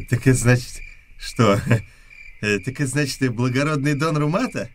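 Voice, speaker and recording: An actor playing a fawning, cowed rough stormtrooper in a radio play, ingratiating and hesitant. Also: male voice, mid-range voice, unhurried, a little hiss or hum, lightly acted. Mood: mocking doubt.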